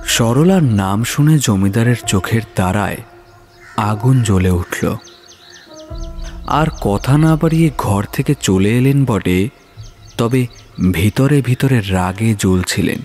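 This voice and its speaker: A man's voice: fairly low pitch, animated, slow, pauses often, a little mellow, soft background music. A man narrating a scripted horror story in a dramatic storytelling style.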